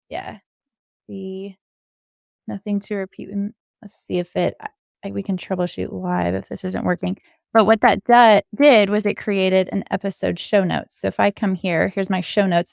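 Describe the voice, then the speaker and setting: A woman's voice: medium pitch, gravelly, no echo, studio quality. A woman giving an informal screen-share coding walkthrough, explaining things step by step as a podcast co-host.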